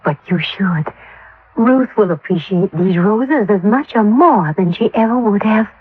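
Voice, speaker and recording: A woman's voice: mid-range voice, expressive, a little slow, breathy edge, no echo. An actress playing a genteel, middle-aged grieving mother, a dotty believer in spiritualism, speaking earnestly and properly in a radio drama. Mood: wistful, earnest. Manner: earnest, proper, slightly scatterbrained. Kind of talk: 1950s radio drama acting. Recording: tinny vintage radio, band-limited.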